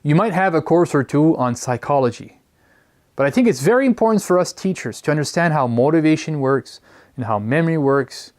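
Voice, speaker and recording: Lively man lecturing. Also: fairly high pitch. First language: French. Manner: lively, stretches and stresses key words. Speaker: teacher trainer.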